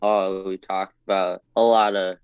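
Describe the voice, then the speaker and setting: Even, low-pitched man co-hosting, dry sound. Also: unhurried, clear, resonant tone, no echo, muffled recording. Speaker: podcast co-host. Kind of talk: casual interview and chat.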